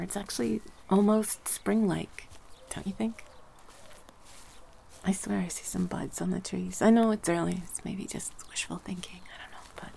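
A woman voice performer chatting gently in an ASMR roleplay, slipping between soft speech and whispers, a little congested from a cold. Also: medium pitch, creaky, a little hiss or hum.

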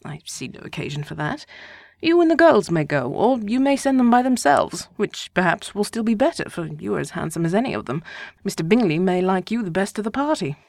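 A woman reading a wry, calm older gentleman. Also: medium pitch, brisk, no room sound, studio quality. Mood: teasing. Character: dry, teasing, unruffled older gentleman.

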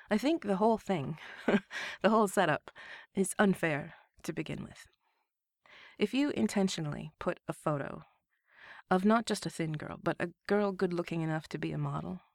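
A woman with a low, gravelly voice hosts her own solo podcast, sounding stuffed up from a cold. She talks in a candid, conversational way, with the odd laugh. The sound is close and dry, with no room around it. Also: no room sound. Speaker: podcast host.

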